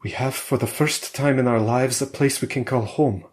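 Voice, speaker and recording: A man's voice: mid-range voice, normal speed, pitched above the performer's own voice, close to an everyday voice. A man voicing a hopeful Russian scientist husband who stubbornly reassures his wife, in a spoken story. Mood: reassuring.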